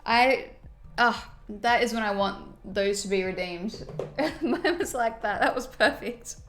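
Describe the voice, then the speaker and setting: A woman's voice: a little high, slow pace, a bit bright, a little hiss or hum. A woman streaming casually while doing her makeup, reading viewer comments aloud and reacting to them in a chatty, friendly way.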